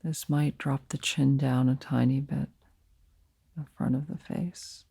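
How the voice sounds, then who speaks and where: A woman leading a guided meditation as a teacher, speaking softly and soothingly in short, unhurried phrases with pauses between them. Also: very deep voice, creaky, husky, dry recording, studio quality.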